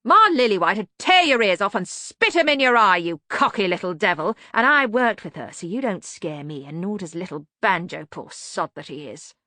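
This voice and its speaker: A woman voicing an angry older locksmith and criminal in an audiobook, blustering and scornful, with rough colloquial grammar. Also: medium pitch, expressive, moderate pace, few pauses, bright, forceful tone, no echo, studio quality. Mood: scornful fury. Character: angry older male locksmith, cocky working-class criminal. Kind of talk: audiobook character voice.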